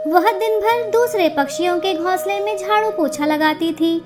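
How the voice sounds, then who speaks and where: A woman narrates a scripted children's story. Her voice is extremely high in pitch. Music plays behind the narration. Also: normal speed.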